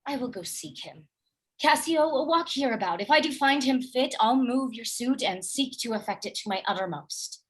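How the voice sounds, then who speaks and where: A woman playing an earnest, distressed young noblewoman and loyal wife in a Shakespearean radio play, with a husky voice. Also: high-pitched, animated, moderate pace. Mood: anxious, gentle.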